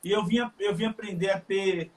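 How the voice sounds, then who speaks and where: Bahian man, high voice, sincere, halting speech full of fillers. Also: steady tone. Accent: Bahian Brazilian Portuguese. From Salvador, Bahia, Brazil. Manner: earnest, halting with frequent fillers. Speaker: live stream guest. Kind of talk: casual chat.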